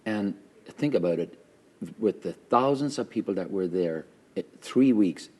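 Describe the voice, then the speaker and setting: Deep-voiced fervent pastor, loud and emphatic. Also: male voice, lively intonation, unhurried. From Canada. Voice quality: loud voice by nature. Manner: fervent, emphatic, repeats phrases for stress. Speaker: pastor hosting a conversation. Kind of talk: earnest conversational interview.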